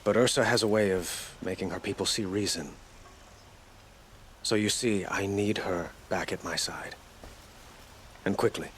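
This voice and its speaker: A man playing an earnest, troubled young king in a video game, speaking in a measured, courtly, formal way. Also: sits low, a little hiss or hum. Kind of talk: video game cutscene acting.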